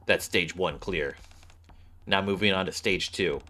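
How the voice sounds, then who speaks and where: A man's voice: sits low, measured pace, sharp tone. A man streaming a game, reading its dialogue aloud and adding casual commentary.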